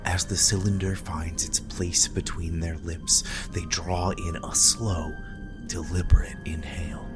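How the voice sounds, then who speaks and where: Very deep, slow man narrating gothic horror. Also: level tone. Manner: hushed, breathy, ominous delivery. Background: music.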